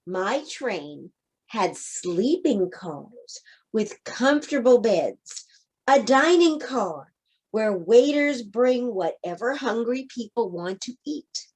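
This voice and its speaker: A woman voicing a boastful male train engine for a children's storybook, pompous and dismissive. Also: fairly high pitch.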